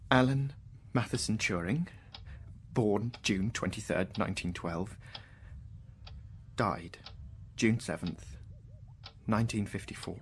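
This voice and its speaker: A man's voice: lowish voice, slight background noise. An actor playing a wry, searching, wounded male mathematician in a radio drama, sceptical and emotionally reactive.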